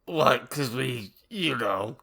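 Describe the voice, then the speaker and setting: A woman voicing a sulky, pleading teenage goth boy in a comic story reading, muttering and halting. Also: very deep voice, lively intonation, gravelly, a bit bright, slightly rough, heavily acted.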